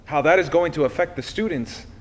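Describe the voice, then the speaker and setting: A man reading a personal letter aloud expressively, with deliberate phrasing and pauses. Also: fairly high pitch. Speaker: reader of a historical letter.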